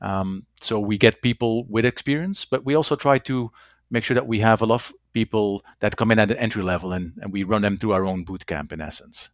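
Low-voiced man, halting speech. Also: dry recording, clean studio sound. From Belgium. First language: Dutch. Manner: halting, repeats words, tags sentences with "right?". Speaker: company executive guest. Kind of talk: conversational interview answers.

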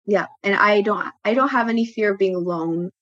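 A woman whose first language is French, a guest speaking reflectively with frequent pauses and restarts. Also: medium pitch, fairly bright tone, no room sound, lo-fi sound. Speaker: podcast guest, tattoo studio co-owner. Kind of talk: personal interview talk.

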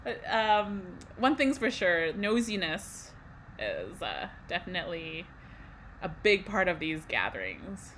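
A woman's voice: fairly low pitch, sing-song, slow pace, fairly bright tone. Young female co-host from Canada, chatty and easygoing, slight background hiss. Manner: conversational, many fillers, light laughs. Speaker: podcast co-host. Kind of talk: casual podcast chat.

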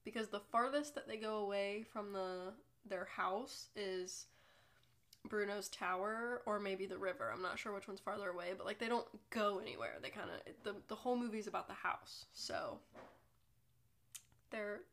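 Young woman, stuffy nose, gravelly voice, rambling podcast chat. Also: medium pitch, normal speed, a bit bright. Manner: chatty, self-deprecating, rambling. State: congested from a cold. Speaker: podcast host. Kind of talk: casual solo podcast chat.

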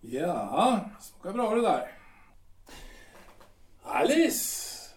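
A man's voice: high voice, big pitch swings, far from the mic. An actor playing a bitter, sarcastic middle-aged artillery captain who mocks his wife in an audio drama. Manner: mocking, sardonic. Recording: roomy, off-mic sound.

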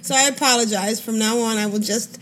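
A woman's voice: on the high side, fairly even intonation, a little fast, fairly bright tone, slight background noise, rough recording. A woman with a lisp co-hosting a gaming podcast, teasing and blunt in casual chat. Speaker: podcast co-host.

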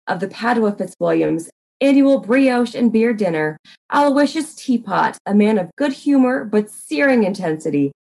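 A woman narrating a comic murder mystery in a deliberate, deadpan dramatic reading. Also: mid-range voice, poor audio.

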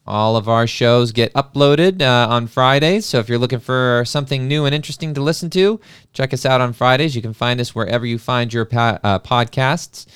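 A man with a clear, smooth voice of middling pitch hosts a podcast. He talks casually and a little haltingly, in a very dry recording. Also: a bit bright, no room sound. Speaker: podcast host.

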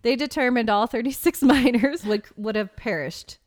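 A woman's voice: on the high side, normal speed. A woman co-hosting a podcast, telling stories in a conversational way and adding asides as she reads out accounts. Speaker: podcast co-host. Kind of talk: casual storytelling chat about a legend.

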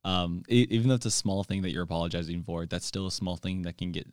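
A man's voice: low-pitched, quick pace, clean tone, no echo, clean studio sound. A young man, a church intern, talking earnestly and eagerly in a casual podcast conversation, with lots of fillers. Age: young adult. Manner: earnest, enthusiastic, fillers and restarts. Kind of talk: casual chat.

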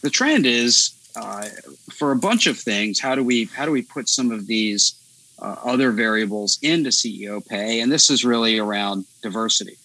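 A man co-hosting a podcast, thinking aloud in a relaxed, meandering way with many fillers. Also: mid-range voice, moderate pace, low-quality recording. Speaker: podcast co-host. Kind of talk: casual conversation.

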